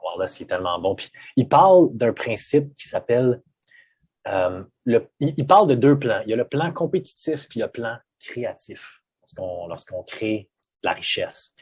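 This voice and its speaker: A man with a Québécois accent talks things through in a low, somewhat husky voice. He is reflective and often restarts his sentences. The tone stays casual, like a guest in conversation. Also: very animated, moderate pace, a little mellow, no room sound. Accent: Québécois French.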